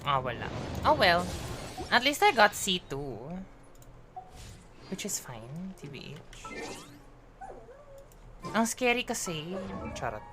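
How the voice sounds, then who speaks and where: A woman's voice: on the low side, sing-song, slow, long pauses. A woman streaming a game live, chatting playfully to herself and her viewers. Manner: chatty, playful, code-switching, self-talking. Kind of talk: casual live gaming chatter. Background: game music.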